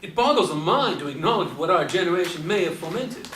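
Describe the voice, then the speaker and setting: A man's voice: high-pitched, animated, fast talker, fairly bright tone. A man acting in a stage play as an aging, folksy Beatnik who speaks emphatically and in slang. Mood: proud. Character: aging male Beatnik, folksy, fired-up old cat. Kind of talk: stage play scene reading. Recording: echoey room from far off, faint noise.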